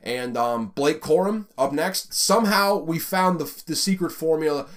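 A man's voice: fairly high pitch, normal speed, fairly bright tone. A man with a Southern American accent hosting a casual college football rundown, rambling and conversational with plenty of fillers. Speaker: college football podcast host. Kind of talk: casual sports commentary.

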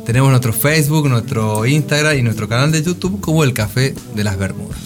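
An Argentine man speaks as a co-host in casual radio banter. His pitch is middling, and music is clearly audible behind his voice. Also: lively intonation, brisk. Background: music playing behind the voice.

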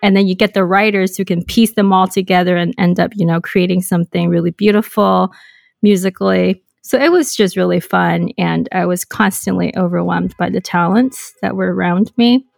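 Woman from Hong Kong with gravelly edge. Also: fairly low pitch, normal speed, no echo, studio quality. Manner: reflective, frequent "um" and "you know", light laughs. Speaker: guest. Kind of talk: casual interview storytelling.

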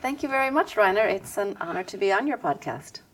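A woman answering interview questions in a measured, reflective way, with soft "um" fillers. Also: medium pitch, big pitch swings, poor audio. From United States. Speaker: interview guest.

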